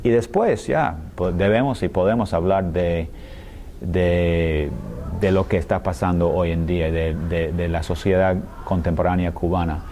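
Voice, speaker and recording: A man, a scholar answering interview questions in a language that is not his one, speaking hesitantly with many fillers, pauses and restarts and often ending phrases with a questioning tag. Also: deep voice, fairly even intonation, dark tone, a little hiss or hum. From United States. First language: English. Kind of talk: thoughtful conversational interview answers.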